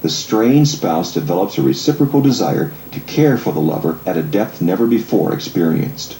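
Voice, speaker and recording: A man reading scripted audiobook narration in a measured, articulate, explanatory way. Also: deep voice, animated, creaky, mellow, echoey room, a little hiss or hum, low-quality recording.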